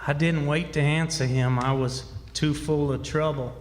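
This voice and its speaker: A man's voice: a little high, fairly even intonation, normal speed, natural voice. A man playing a country boy narrating his own adventures in a radio play, folksy and confiding. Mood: rueful, relieved. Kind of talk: first-person radio drama narration. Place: live stage in a hall. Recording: hall recording with music.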